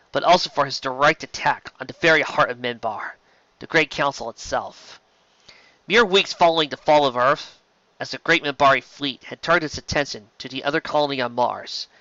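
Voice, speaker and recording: Bright-voiced man narrating dramatic fiction. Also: medium pitch, dry recording, muffled recording. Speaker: amateur audiobook reader.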